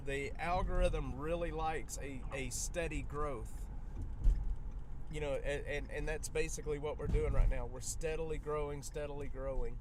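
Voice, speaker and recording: A hoarse man, still recovering from being sick, chatting casually during a live stream, rambling with lots of fillers and restarts. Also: a little high, background noise. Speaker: live streamer documenting public safety. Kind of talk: casual live-stream chat while driving.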